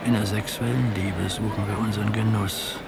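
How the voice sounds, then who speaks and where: An actor in a radio drama playing a solemn reader of literary philosophy, measured in manner. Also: male voice, lowish voice, little pitch movement, creaky, a loud crowd.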